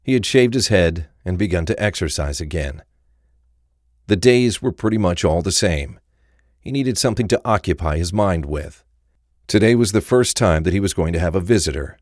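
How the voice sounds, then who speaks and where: A man's voice: sits low, lively intonation, moderate pace, darkish tone, no room sound, clean studio sound. A man reading scripted narration for a crime thriller audio drama.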